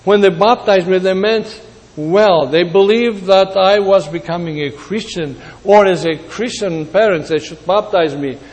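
Slow, high-voiced preacher in reverberant hall. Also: male voice, darkish tone. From Greece. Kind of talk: sermon.

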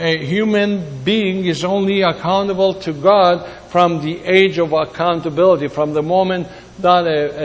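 A man from Greece preaching a sermon in a deliberate way, repeating words for emphasis. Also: sits high. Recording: long hall reverb.

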